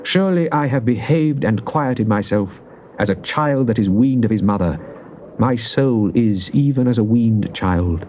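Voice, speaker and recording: A man recites scripture as drama, in a solemn, declamatory way. His voice sits at a middle pitch and he leans slowly on key words. The recording is a muffled old cassette with slight background noise. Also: lively intonation. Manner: solemn, declamatory, with drawn-out emphasis. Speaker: scripture reader. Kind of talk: dramatized recitation of Bible psalms.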